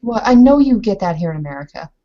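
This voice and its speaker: A woman's voice: medium pitch, very animated, fast talker, dull audio, natural voice. A woman playing a composed, frank Bavarian bride in a tabletop roleplay, hesitating as she searches for words. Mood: explaining, friendly.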